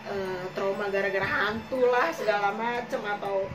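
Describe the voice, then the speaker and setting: A young woman chatting casually, hoarse and coughing, rambling with many fillers as she tells her own experiences. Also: mid-range voice, steady tone, moderate pace, music in the background. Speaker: podcast co-host telling her own experiences. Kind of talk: casual chat in Jakarta slang. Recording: echoey room, far from the mic, noisy.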